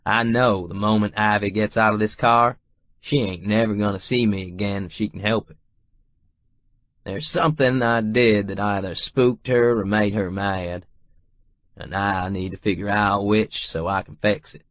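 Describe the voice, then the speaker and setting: A man's voice: on the low side, steady tone, normal speed, no echo, muffled recording, natural voice. An actor narrating a romance audiobook as a stubborn, wry, lovestruck Texas oilman. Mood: wry, determined. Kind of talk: first-person audiobook narration with dialogue.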